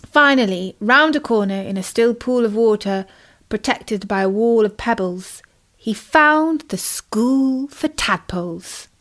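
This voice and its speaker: High-voiced British woman reading bedtime stories. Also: expressive, unhurried, fairly bright tone. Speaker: storyteller. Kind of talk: expressive children's bedtime story narration.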